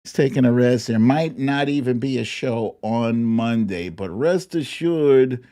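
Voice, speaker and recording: A man hosting a live streamed show, chatting to viewers in a playful, theatrical way with drawn-out exclamations. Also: mid-range voice, dark tone. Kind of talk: live chat-show talk, greeting viewers by name.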